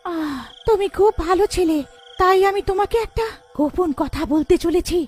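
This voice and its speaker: Woman voicing a halting, kindly dying sorceress. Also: very high voice, a little mellow. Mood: weak, solemn.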